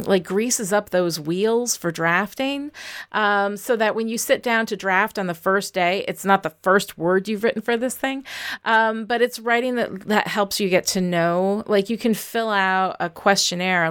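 Low, clear-voiced woman coaching casually. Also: a bit bright. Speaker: writing coach and guest co-host. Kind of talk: casual instructional chat about craft.

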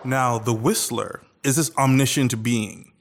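A man's voice: medium pitch, unhurried, gravelly, over music. A man co-hosts a casual podcast chat, talking with eager enthusiasm. His voice sits in an ordinary mid range. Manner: enthusiastic, chatty. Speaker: podcast co-host.

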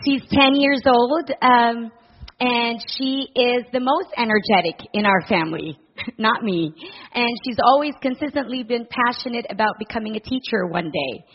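A middle-aged woman from the Philippines, a charity director speaking earnestly to a church congregation, with soft fillers and a warm, personal manner. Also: a little high, normal speed, lo-fi sound. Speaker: director of a family services charity.

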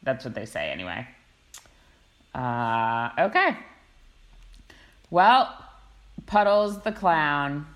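A woman co-hosting a podcast in casual chat, playful and animated, often reading aloud. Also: sits low, takes it slowly, pauses often. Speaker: podcast co-host.

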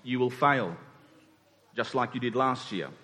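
A man's voice: medium pitch, measured pace, sharp tone. A man in his forties preaching a sermon, speaking rhetorically with emphatic points and dropped asides. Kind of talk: sermon to a congregation. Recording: long hall reverb.

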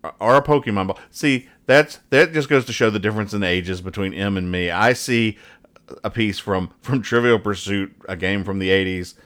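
A man with a lowish voice hosts a casual show. He rambles along conversationally, describing things in a joking, mock-serious way. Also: normal speed, fairly bright tone. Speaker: podcast host. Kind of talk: casual banter, reading and describing comic strips.

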